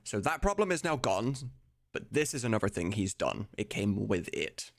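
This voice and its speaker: A man's voice: on the low side, big pitch swings, moderate pace, creaky. A man chatting casually on a live stream in an informal, sweary way, thinking aloud as he goes. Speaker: streamer and voice mod creator. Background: video game music.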